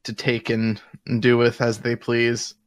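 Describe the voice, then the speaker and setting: A man's voice: medium pitch, monotone, measured pace, slight background noise. A man bantering casually on a podcast in a joking manner. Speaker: podcast panelist.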